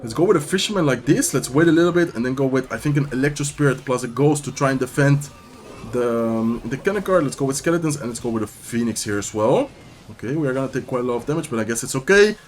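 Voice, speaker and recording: A man's voice: mid-range voice, animated, fast talker, a little hiss or hum. A young man giving upbeat live commentary over a mobile strategy game, talking through each move while he says he is losing his voice. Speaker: gaming commentator.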